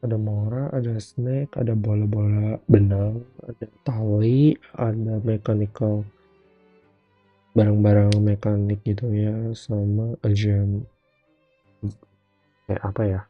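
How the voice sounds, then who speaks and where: A woman's voice: very deep voice, little pitch movement, unhurried, pauses often, soft background music. A woman with an Indonesian accent giving casual commentary and translation as she streams a game. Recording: echoey room, dull lo-fi streaming audio.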